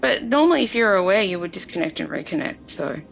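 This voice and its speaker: A woman's voice: fairly low pitch, sing-song, fast, creaky, muffled recording, slight background noise. A woman playing a tabletop game, improvising her character's actions in casual chat.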